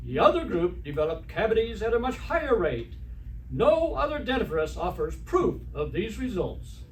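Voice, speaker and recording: An actor playing an emphatic, salesmanlike announcer reading a scripted old-time radio commercial. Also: male voice, high-pitched, sing-song, moderate pace, distant mic. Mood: assured. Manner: declarative, salesmanlike. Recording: roomy stage recording from afar, hiss.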